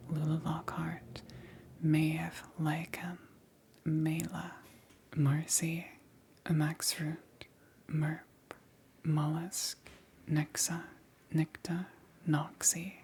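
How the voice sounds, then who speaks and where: A woman who makes ASMR videos, softly whispering credits in a measured way. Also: low-pitched, creaky, a little hiss or hum.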